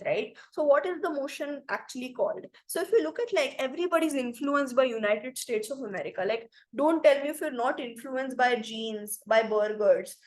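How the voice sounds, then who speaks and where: High-voiced Indian woman teaching debate. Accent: Indian English. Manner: explanatory, many fillers like "like" and "you know". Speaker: debate trainer. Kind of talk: online debate training session. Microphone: video call.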